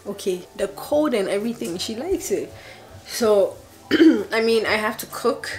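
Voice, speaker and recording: A woman in her twenties chatting casually in her kitchen for a home vlog, chatty and excitable with frequent laughs. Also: mid-range voice, unhurried, low-quality recording. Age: 20s. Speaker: vlogger.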